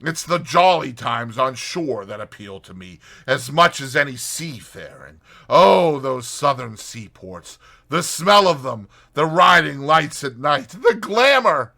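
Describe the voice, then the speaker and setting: A man's voice: mid-range voice, big pitch swings, a little slow, vocal fry, few pauses, pushed and bright, full character voice. A man voicing a worldly, boastful seafaring rat who savors old voyages with grand storytelling flourishes, in a dramatized audiobook. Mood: frank, easygoing, then rapturous. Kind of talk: dramatized audiobook character reading.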